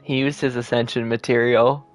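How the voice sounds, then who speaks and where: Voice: medium pitch, little pitch movement, moderate pace. A game streamer giving casual, chatty gameplay commentary. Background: game music.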